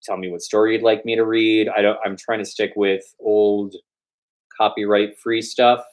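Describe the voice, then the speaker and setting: A man's voice: on the low side, little pitch movement, moderate pace. A man reading a story aloud as host, with a theatrical, playful storytelling delivery. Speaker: host and reader of a storytime show. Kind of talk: dramatic storytelling read-aloud.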